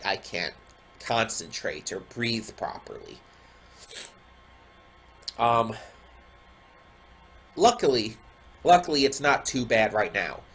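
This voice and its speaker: Casual reaction host, male, mid pitch, stuffed-up nose, rambly with frequent ums. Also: fairly bright tone. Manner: rambling, many ums and restarts. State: stuffy nose, slight sore throat. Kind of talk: casual chat to camera. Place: home room with a window.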